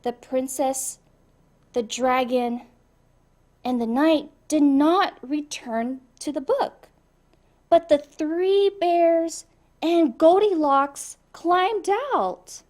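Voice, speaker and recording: A woman reading a children's storybook aloud in an expressive way, addressing young listeners. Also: high-pitched, extremely slow. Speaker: storybook reader. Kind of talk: animated children's read-aloud.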